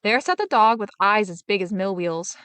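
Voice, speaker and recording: A woman storyteller reading a fairy tale aloud in an expressive, dramatic manner. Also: mid-range voice, normal speed, no echo. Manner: dramatic, animated.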